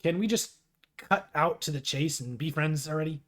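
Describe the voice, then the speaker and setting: A man's voice: a little high, normal speed, fairly bright tone, close to an everyday voice. A man voicing a playful, casual teenage boy from a webcomic, sarcastic and rambling. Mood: casual, teasing.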